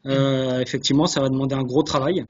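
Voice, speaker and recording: A man's voice: mid-range voice, flat delivery, fast, a little mellow. A man answering interview questions earnestly, hesitating and repeating words as he searches, on dull webinar audio. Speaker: guest, chef sommelier. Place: online video call.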